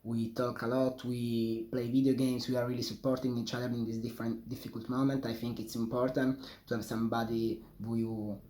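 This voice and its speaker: A man's voice: medium pitch, little pitch movement, a little fast, few pauses, mellow, slight background noise. Man, early 20s, mid voice, hesitant English, echoey room. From Italy. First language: Italian. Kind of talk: self-recorded reflection.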